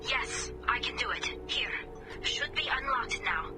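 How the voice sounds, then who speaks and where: A woman's voice: sits high, expressive, measured pace. A woman playing an earnest, wistful young female alien engineer in a video game, heard through a helmet radio filter. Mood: earnest, focused. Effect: helmet filter, radio comms. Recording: game audio with music behind, filtered voice. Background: music.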